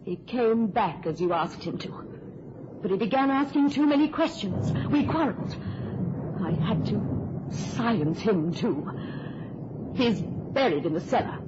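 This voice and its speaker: An actress playing a cold, domineering murderess in an old-time radio drama. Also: female voice, mid-range voice, animated, a little slow, natural voice. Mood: cold, defensive. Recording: noisy, muffled old radio recording.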